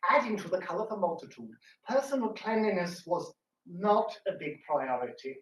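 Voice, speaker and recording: A man's voice: sits high, very animated, normal speed, fairly bright tone. A man co-presenting a scripted talk with banter, like a theatre performer. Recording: roomy, distant mic.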